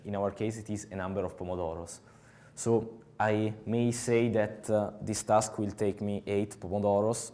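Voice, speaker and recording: Young Italian-accented male speaker, slightly low voice, halting conference-talk delivery on a headset mic. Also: dark tone. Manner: halting, many filler uhs. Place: large conference hall with audience.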